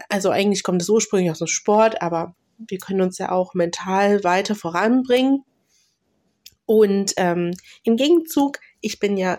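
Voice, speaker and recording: A woman hosting her own podcast while she has a cold, talking loosely with many fillers, restarts and throat-clearing. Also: mid-range voice, darkish tone. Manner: clears throat now and then. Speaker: podcast host and mentor. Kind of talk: solo podcast talk.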